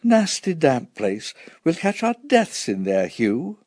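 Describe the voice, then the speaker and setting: A man's voice: fairly high pitch, huge pitch swings, slow pace, darkish tone, no echo, studio quality, pitched above the performer's own voice, heavily acted. A man voicing a stern, proud mother with a commanding, dismissive manner, in a storytelling narration. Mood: withering.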